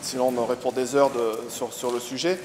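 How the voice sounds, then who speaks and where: A man's voice: medium pitch, level tone, fairly quick. Male interviewer, conversational and encouraging, roomy gym with hiss. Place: martial arts gym.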